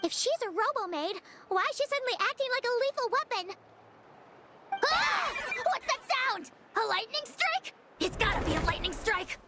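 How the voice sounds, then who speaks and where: A woman voicing a small, excitable childlike fairy companion in a video game, squeaky and dramatic. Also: moderate pace, slight background noise, full character voice. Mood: startled, puzzled. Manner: excitable, exclamatory. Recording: loud music behind the voice.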